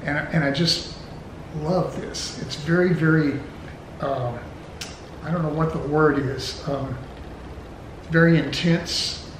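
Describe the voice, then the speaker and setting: An older man in his sixties or beyond, chatting casually at home in a halting, musing way. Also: fairly high pitch, fairly even intonation. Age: 60s or older. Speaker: vlog host's companion. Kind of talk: casual chat while opening gifts. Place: indoors at home, roomy space. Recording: roomy echo, noticeable background noise.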